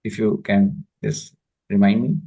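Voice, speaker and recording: A man's voice: on the low side, steady tone, measured pace, vocal fry, mellow, husky, dull audio. Indian man giving an online spiritual lecture. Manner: patient, explanatory, many fillers and checks for understanding. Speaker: spiritual teacher. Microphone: video call.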